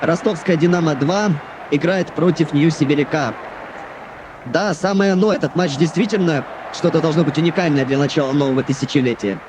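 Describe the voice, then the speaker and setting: Man acting higher-voiced football commentator on noisy TV. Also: a little fast, close to an everyday voice. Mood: engaged. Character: chatty TV football commentator around year 2000. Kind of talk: sports commentary. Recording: noisy old VHS television broadcast.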